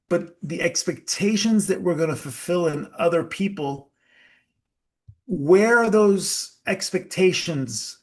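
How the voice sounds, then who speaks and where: Workshop leader, male, slightly high voice, slow deliberate delivery, quizzes the room. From United States. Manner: slow, emphatic, asks the audience questions. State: sweating. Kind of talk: live group workshop talk.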